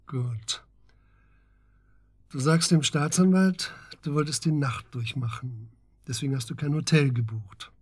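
A man acting in a German radio drama as a stern, methodical investigator, husky and matter-of-fact with clipped questions. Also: medium pitch, unhurried, no room sound, studio quality. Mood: firm, coaching. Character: stern, methodical male investigator coaching a suspected friend.

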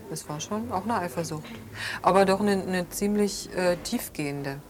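A woman's voice: lowish voice, normal speed, lightly acted. An actress playing an earnest, thoughtful woman, hesitantly explaining in natural radio drama dialogue. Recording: clearly noisy room ambience.

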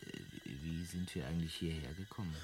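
An actor playing a bewildered, grumbling ordinary earthman in a German radio drama, with a dry, hesitant, deadpan delivery. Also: extremely low pitch, brisk. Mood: incredulous.

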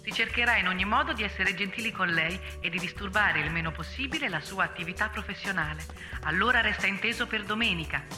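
A woman's voice: medium pitch, fast, natural voice. A woman playing an impersonal, courteous court official on the telephone in a radio play, formal and officious. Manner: formal, officious announcement, mimics phone ringing. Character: impersonal, courteous bureaucratic caller summoning the accused. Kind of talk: radio drama stage acting. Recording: echoey, music underneath.

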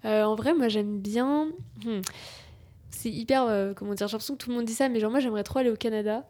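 A young woman, a university student co-hosting a casual radio chat, lively and chatty. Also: a little high, quick pace. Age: young adult.

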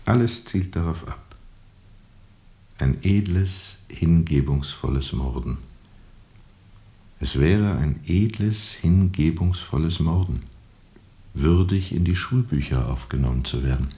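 A man acting a brooding, haunted steamboat captain in a radio drama, grave and measured, often sinking toward a whisper. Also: very deep voice, dark tone, full character voice. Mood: dark, intense. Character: brooding, haunted steamboat captain recalling a colonial river journey.

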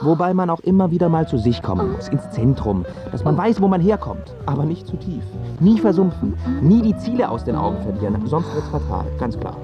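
Brash, swooping-voiced vain pop singer. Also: male voice, fairly high pitch, very animated, fairly quick, few pauses, lo-fi sound, over music. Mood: boastful. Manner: boastful, spouting platitudes and slangy boasts. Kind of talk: radio drama acting.

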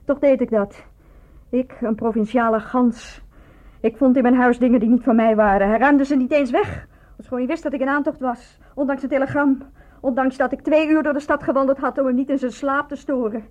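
A woman's voice: sits high, fairly even intonation, fairly quick, a little mellow, close to an everyday voice. An actress in a radio play voicing a lovestruck, self-reproaching provincial woman, speaking in a reflective, confessional manner. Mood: bitter, rueful. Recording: thin, phone-like old radio recording.

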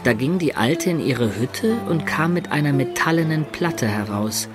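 An actor playing an adventurer who recounts his journey in a radio drama, in measured literary narration. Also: on the high side, sing-song. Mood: composed. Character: male adventurer recounting his long journey, composed storyteller. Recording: faint music under the narration.

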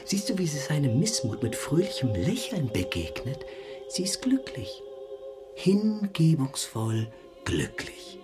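An actor playing a sly, philosophising hotel floor waiter in a radio drama, insinuating and theatrical, with whispery asides. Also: male voice, on the high side, very animated, unhurried, over music. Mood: mocking, insinuating.

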